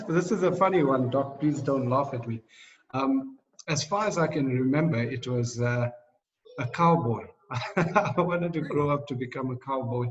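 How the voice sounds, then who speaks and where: Male guest in his thirties, reflective and hesitant, mid-pitched voice, heard from a distance on dull, muffled audio. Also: measured pace, slight background noise. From South Africa. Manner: thoughtful, many fillers, laughs lightly. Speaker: interview guest, financial coach. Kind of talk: reflective interview answers.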